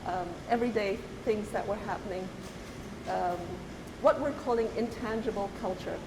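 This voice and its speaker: A middle-aged woman from Zimbabwe, an artist talking reflectively, pausing often and restarting her thoughts. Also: mid-range voice. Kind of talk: reflective conversational talk. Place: gallery. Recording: roomy hall with hiss.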